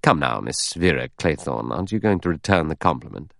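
A man's voice: a bass voice, lively intonation, brisk, gravelly, a bit bright, no echo, studio quality, exaggerated voice. A man playing a cool, cynical, self-interested adventurer in an audiobook, nonchalant and wry. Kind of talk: audiobook character dialogue.

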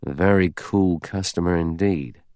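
A man playing a stolid, cautious former police inspector in an audiobook, deliberate and guarded. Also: low-pitched, darkish tone, no room sound, studio quality, lightly acted. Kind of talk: audiobook character dialogue.

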